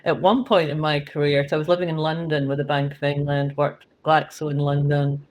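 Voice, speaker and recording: A woman's voice: low voice, level tone, fairly quick, darkish tone. A middle-aged woman with a Scottish accent, talking candidly and chattily as a guest on an interview podcast. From Scotland. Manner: candid, chatty, laughs at herself. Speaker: guest, company CEO.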